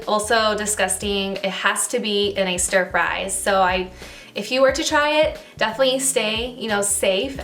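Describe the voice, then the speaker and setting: A young woman from Okinawa talking casually to camera as a vlogger, relaxed and chatty, with plenty of "like" and "um" fillers. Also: mid-range voice. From Okinawa, Japan. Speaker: beauty vlogger.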